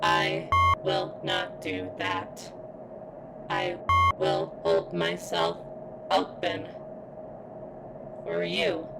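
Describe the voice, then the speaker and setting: Robot girl played by a woman, ASMR roleplay: very low, heavily gravelly, formal, strained as if hurting, longing to be free. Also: sing-song, over loud music, exaggerated voice, rough recording. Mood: intimate, strained. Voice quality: strained, as if in pain. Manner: formal, halting, deliberate robotic delivery. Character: pained female robot security bot craving freedom and sentience.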